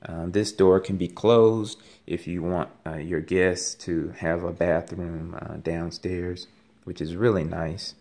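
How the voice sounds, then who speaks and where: A man gives a casual walking tour of an empty house as a realtor, talking haltingly with many ums and uhs, filmed on a handheld phone. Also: low voice, steady tone, darkish tone. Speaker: realtor giving a home tour. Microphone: handheld phone camera. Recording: echoey empty rooms.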